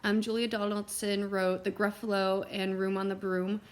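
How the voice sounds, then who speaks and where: A woman reading a picture book aloud to young children in an expressive way, with simple asides to her listeners. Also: medium pitch, moderate pace. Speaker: read-aloud teacher and storyteller.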